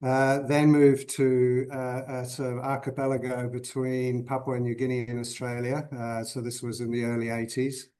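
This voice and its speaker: A man in his fifties from Zimbabwe, a guest on a podcast interview, answering thoughtfully with many pauses and restarts. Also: mid-range voice, little pitch movement, warm tone. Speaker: guest conservationist.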